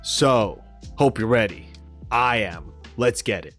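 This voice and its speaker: Male reaction host, medium pitch, casual gaming commentary, chatty, rambling, self-deprecating, light background music. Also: expressive, slow, fairly bright tone.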